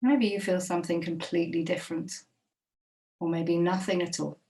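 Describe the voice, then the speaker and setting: A woman guiding a body scan meditation, speaking slowly and gently with long, unhurried pauses. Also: lowish voice, level tone, distant mic. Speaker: meditation guide.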